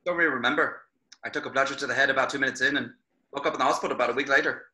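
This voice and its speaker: Teenage team captain, read by a man: quick, very bright and forceful, frank reassurance, mid-pitched. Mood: brisk reassurance. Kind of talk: screenplay table read.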